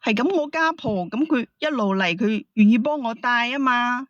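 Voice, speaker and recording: Woman caller, defensive and complaining. Somewhat high voice, dull lo-fi line. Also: normal speed, dry recording. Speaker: caller, accused wife. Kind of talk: defending herself in an argument.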